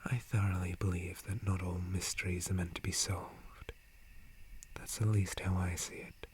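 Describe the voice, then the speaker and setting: A man playing a gentle, calm, friendly dryad forest guardian in a breathy ASMR whisper, with a soothing manner. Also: low voice. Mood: calm, reflective. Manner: slow, soothing, unhurried. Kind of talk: ASMR roleplay.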